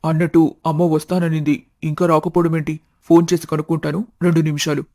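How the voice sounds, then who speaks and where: A man's voice: fairly high pitch, little pitch movement, brisk, a little mellow, no echo, clean studio sound, lightly acted. A man voicing a talkative, good-hearted young snack-shop owner in a family story, polite, chatty and matter-of-fact. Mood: chatty, frank.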